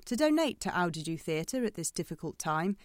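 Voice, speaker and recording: A woman's voice: on the low side, big pitch swings, brisk, dry recording, clean studio sound. A woman giving a scripted narration in the manner of closing credits.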